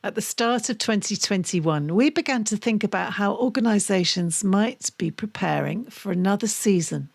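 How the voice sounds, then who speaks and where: Woman reading podcast links briskly. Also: medium pitch, animated, creaky. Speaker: co-host. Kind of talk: scripted podcast narration.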